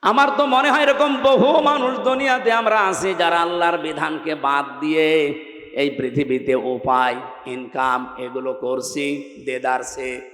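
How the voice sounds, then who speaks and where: A Bangladeshi man preaching an Islamic sermon to a gathering in an emphatic, exhorting way, swinging between raised cries and hushed lines over a public-address microphone. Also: on the high side, fairly even intonation, measured pace. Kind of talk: sermon to a public gathering, with chanted scripture recitation. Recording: echoing loudspeaker sound, long reverb.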